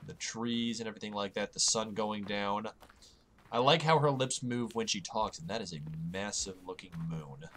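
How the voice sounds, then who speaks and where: A man with a slightly hoarse voice, rambling and joking at his own expense as he narrates playing a game. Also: on the low side, moderate pace, fairly bright tone, slight background noise. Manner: rambling, self-mocking, chatty asides. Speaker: gaming channel host. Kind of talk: casual let's-play commentary. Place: home, late at night.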